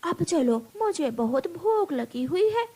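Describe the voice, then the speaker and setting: A woman voicing a fierce, greedy, vain female eagle in a cartoon story, speaking in a drawn-out, gloating, menacing way. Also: high-pitched, very animated, warm tone, heavily acted. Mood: impatient, suspicious. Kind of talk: Hindi cartoon story character voice.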